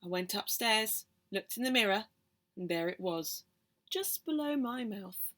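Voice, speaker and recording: A woman reading a children's poem aloud in a theatrical, expressive storytelling manner. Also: mid-range voice, measured pace. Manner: theatrical, expressive, with hushed whispers and exclamations. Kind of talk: animated children's poem performance.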